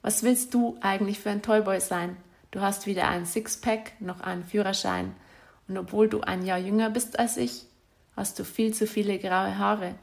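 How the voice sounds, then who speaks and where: A woman's voice: mid-range voice, fairly even intonation, normal speed, creaky. A woman reading out a letter she wrote to her former partner, in a bitter, accusatory and insulting way. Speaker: spurned ex-partner.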